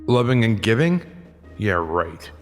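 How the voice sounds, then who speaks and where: Low-voiced, wry weary policeman, acted by a man. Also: sing-song, a little slow, soft background music. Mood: sardonic. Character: weary, wry middle-aged New York policeman.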